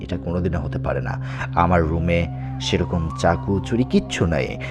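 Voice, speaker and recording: A man's voice: deep voice, normal speed. A man hosting a horror show and narrating first-person ghost stories dramatically. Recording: music bed under the voice. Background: background music.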